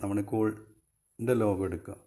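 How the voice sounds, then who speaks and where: Low, mellow-voiced Malayali man explaining. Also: steady tone, moderate pace. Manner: informal, explanatory, repeats points. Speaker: video tutorial host. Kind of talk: casual step-by-step phone tutorial.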